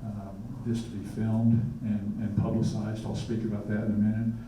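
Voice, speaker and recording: A man's voice: lowish voice, level tone, moderate pace, dark tone. A man, a public health official, giving a presentation and often stumbling into repeated words. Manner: many restarts and repeated words. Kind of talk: presentation at a community forum. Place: lecture hall. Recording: echoey hall, mic far off, faint noise.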